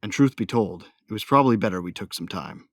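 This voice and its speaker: A man's voice: on the low side, quick pace, fairly bright tone, dry recording, studio quality. An actor playing a brooding, hot-tempered male bounty hunter with a tough, slangy manner, narrating an audio drama in the first person.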